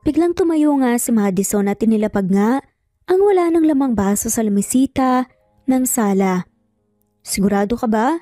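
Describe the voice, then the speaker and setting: A woman's voice: a little high, lively intonation, normal speed, mellow, no echo, clean studio sound, soft background music. A woman with a Filipino accent reading dramatic scripted narration as a storyteller.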